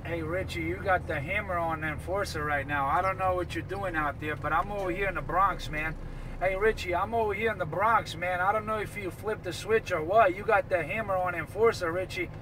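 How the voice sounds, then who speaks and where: High-pitched New man chattering on CB radio. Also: a little fast. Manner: repetitive street-slang call-outs. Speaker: CB radio operator. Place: in a vehicle on the road. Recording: noisy, with vehicle and outdoor traffic sounds.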